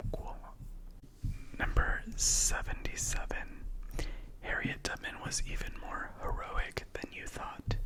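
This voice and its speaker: A man whose first language is Spanish, whispering numbered facts ASMR-style with casual chatty asides. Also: rough recording. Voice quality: soft unvoiced whisper. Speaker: ASMR host. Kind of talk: ASMR whispered fact reading.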